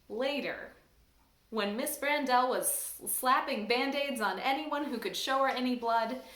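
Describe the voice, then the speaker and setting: A woman from a church staff reading a children's book aloud in an expressive, lively storytelling manner. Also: high voice. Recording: roomy echo.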